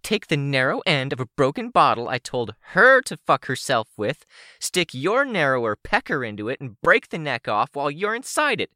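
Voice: medium pitch, big pitch swings, moderate pace, pushed and bright, no echo, clean studio sound, voice pushed up. A narrator voicing a furious, taunting young female supervillain with a shrieking, sneering, profane delivery.

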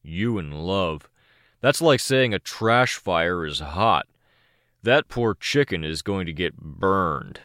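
A reader voicing a sneering, cruel teen boy with a slow, contemptuous drawl, in an audiobook. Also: low voice, lively intonation, fairly bright tone, no echo, clean studio sound, full character voice. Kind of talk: audiobook character voice.

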